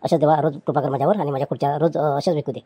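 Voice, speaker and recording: Lady voicing a meek chair seller guy, super fast, husky, dry close sound, keeps pleading. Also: low voice, fairly even intonation, mellow, no echo. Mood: placating. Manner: pleading, placating, stammering restarts.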